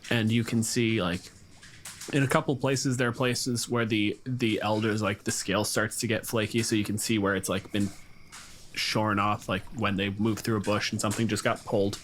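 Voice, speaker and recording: Low-voiced man narrating, noisy background. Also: little pitch movement, moderate pace, fairly bright tone. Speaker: game master. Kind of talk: improvised narration of a tabletop game. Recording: clear background noise.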